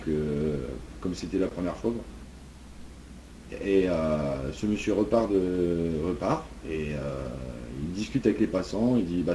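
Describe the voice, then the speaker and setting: A man's voice: deep voice, mellow. A man improvising as a police officer in an online role-play game, explaining things in a hesitant, rambling way. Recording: dull mic with background noise.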